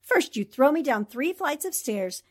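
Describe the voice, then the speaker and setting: A woman's voice: high-pitched, big pitch swings, measured pace. A woman playing a brash, wisecracking newspaper reporter in a 1940s style radio drama, scolding and sarcastic. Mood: indignant.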